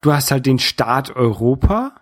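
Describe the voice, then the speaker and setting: A man from northern Germany with a light North German accent, talking casually about opinions, haltingly and often repeating words as he searches for the next. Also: mid-range voice, very animated, no echo, studio quality. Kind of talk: casual political discussion.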